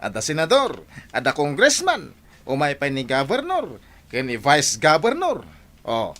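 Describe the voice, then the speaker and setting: A man's voice: a little high, wildly swooping pitch, unhurried, fairly bright tone, full character voice. A man acting as a boastful, big-spending groom in an Ilocano radio drama, swaggering and exclaiming with an Ilocano Filipino accent. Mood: bragging.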